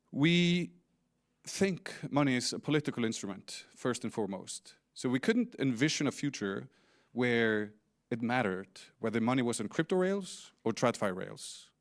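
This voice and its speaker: A middle-aged man with an Icelandic accent gives a conference presentation as a company founder, explaining things with light humor and small restarts. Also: medium pitch. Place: large indoor conference stage.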